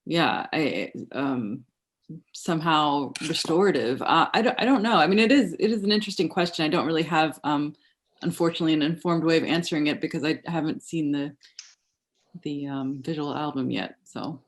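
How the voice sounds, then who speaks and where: A panel speaker in an academic discussion, starting slowly with fillers and trailing off. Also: mid-range voice.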